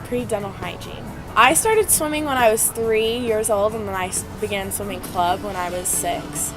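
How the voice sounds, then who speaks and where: Young female college athlete, American accent, medium-pitched, interview-feature delivery over a strong music bed. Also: poor audio. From Phoenix, Arizona, USA. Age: young adult. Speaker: student athlete, college swimmer. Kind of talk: interview answers in a profile feature. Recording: prominent music under the voice.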